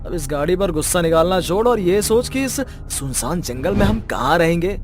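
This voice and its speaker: A man's voice: high-pitched, lively intonation, moderate pace, music in the background. A man playing an irritated, hungry young man stranded with friends in a story, snappy and urgent. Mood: annoyed.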